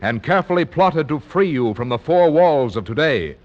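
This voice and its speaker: A man's voice: fairly high pitch, expressive, moderate pace. A man making a formal network announcement for a radio program. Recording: muffled old radio broadcast.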